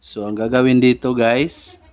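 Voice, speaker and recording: Slow-talking man, dull lo-fi sound. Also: mid-range voice, little pitch movement. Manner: repetitive, addresses viewers often. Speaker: DIY electronics tutorial host. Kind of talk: informal hands-on demonstration. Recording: dull lo-fi recording with loud music. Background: music.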